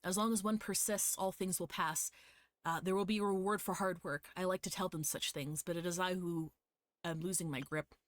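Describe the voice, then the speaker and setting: A woman's voice: on the low side, fairly even intonation, normal speed, no room sound. A woman livestreaming a game, reading its text aloud and adding casual, hesitant commentary.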